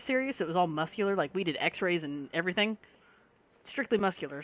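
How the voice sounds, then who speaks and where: Tired rambling voice, bright tone. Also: mid-range voice. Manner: rambling, many pauses and fillers. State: tired and sleepless; voice affected by allergies. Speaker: game video creator. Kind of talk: casual game commentary.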